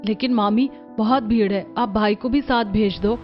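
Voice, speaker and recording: A woman's voice: mid-range voice, normal speed, highs cut off, faint music behind, lightly acted. A woman voicing a dutiful, defensive young elder sister in a cartoon story.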